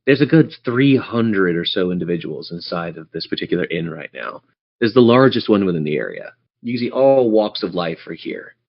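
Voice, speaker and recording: Guy game mastering, lowish creaky voice, storyteller style, descriptive, slightly muffled recording. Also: big pitch swings, a little fast. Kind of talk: narrating a tabletop role-playing game. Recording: dull lo-fi audio.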